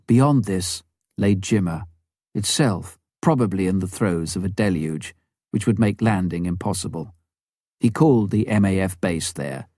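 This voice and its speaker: A man reading a scripted story as an audiobook narrator, measured and dramatic, with deliberate pauses. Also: deep voice, mellow, no echo, clean studio sound. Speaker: audiobook reader.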